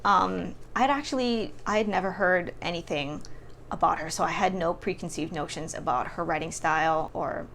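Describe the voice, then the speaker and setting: A woman giving a casual, expressive book review to camera in an informal, emphatic storytelling way. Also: lowish voice, moderate pace, creaky, a little hiss or hum.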